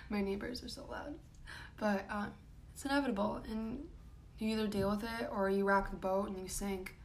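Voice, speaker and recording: Young woman, raspy gravelly voice, rambling vlog talk, faint room noise. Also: steady tone, moderate pace, pauses often. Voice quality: raspy, low voice. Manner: casual, rambling, frequent ums and likes. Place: home room.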